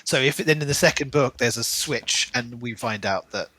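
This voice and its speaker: A man's voice: mid-range voice, moderate pace, bright, forceful tone, no room sound. A man co-hosting a podcast, talking through his opinions in a casual, analytical book discussion. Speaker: podcast co-host.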